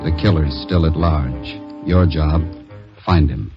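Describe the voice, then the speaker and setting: A man reading a grave radio drama introduction in terse, measured phrases. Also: a bass voice. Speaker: opening announcer. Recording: dull old radio, music behind.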